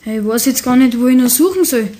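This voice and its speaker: Hohe, bayerische Bubenstimme. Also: female voice, high-pitched, expressive, quick pace, mellow. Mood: puzzled. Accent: Bavarian. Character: cheerful, eager schoolboy Steffi, playful and stubborn. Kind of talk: German children's radio play acting.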